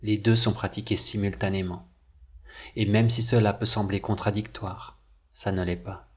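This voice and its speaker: Low-pitched male meditation narrator. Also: flat delivery, slightly rough. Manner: measured, deliberate phrasing with pauses. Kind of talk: scripted guided meditation narration.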